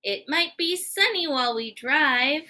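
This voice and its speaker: Woman acting an excitable, high-pitched bossy elephant. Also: exaggerated voice. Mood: urgent, excited. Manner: emphatic, drawn-out exclamations. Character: excitable, anxious, bossy elephant who loves planning. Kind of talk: children's storybook read-aloud.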